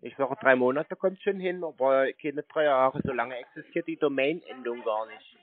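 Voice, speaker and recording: A man's voice: medium pitch, normal speed. A man arguing casually on a phone call in a matter-of-fact, contradicting way. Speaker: scam-baiter taking a call. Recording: narrow phone-like sound, dry.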